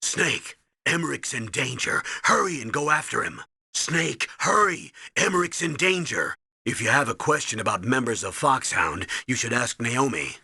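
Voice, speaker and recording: Male stern game commander, middle-aged, husky, hard-edged, urgent, emphatic, dry studio sound. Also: mid-range voice, lively intonation, moderate pace, pushed and bright, no room sound, heavily acted. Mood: alarmed. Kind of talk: video game voice acting.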